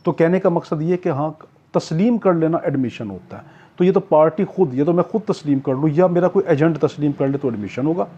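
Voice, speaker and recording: A man's voice: a little high, brisk, mellow, breathy edge. A man teaching an exam-prep law lecture in a didactic way, repeating key points and answering his own questions. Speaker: law tutor. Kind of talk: explanatory exam-prep lecture with everyday examples. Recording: dull, lo-fi recording.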